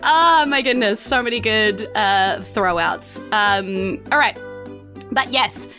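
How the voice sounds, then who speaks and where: A woman's voice: medium pitch, slow. A woman with a New Zealand accent hosting a tabletop game podcast, chatty and playful as she narrates. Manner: playful, animated, giggly. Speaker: game master and podcast host. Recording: music behind the voice.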